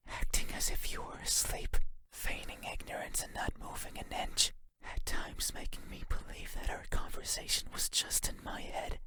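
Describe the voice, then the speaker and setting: A man voicing an eerie, obsessive night creature in a horror game, speaking in a breathy whisper with deliberate, halting, intense phrasing. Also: natural voice. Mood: accusing, bitter.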